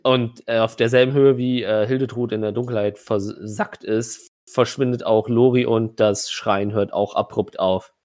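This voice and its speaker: A man narrating a tabletop role-playing game as game master, improvising in a halting, conversational way. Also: medium pitch, fairly even intonation, a little mellow.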